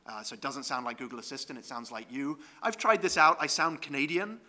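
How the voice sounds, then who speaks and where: A man's voice: mid-range voice, brisk, sharp tone. A man giving a conference talk over slides, explaining step by step with frequent ums and "right?" checks. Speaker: conference presenter.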